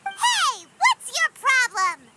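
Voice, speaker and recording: Very high, squeaky little companion girl. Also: huge pitch swings, slow pace, exaggerated voice. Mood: indignant. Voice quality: squeaky, childlike. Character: small childlike floating companion girl, curious and outspoken. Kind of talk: video game cutscene acting. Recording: loud music behind the voice.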